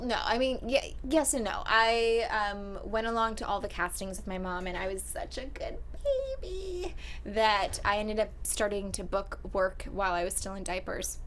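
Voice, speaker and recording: A woman's voice: mid-range voice, fairly bright tone, a little hiss or hum. A woman in her thirties from Miami, an actress chatting as a guest, bubbly and self-mocking. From Miami, Florida. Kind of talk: casual interview chat.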